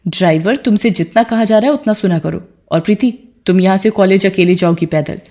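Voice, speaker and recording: Woman voicing a haughty, mellow rich city girl. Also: medium pitch, brisk, lightly acted. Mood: scornful. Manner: scornful, bossy. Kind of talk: dramatised Hindi moral story.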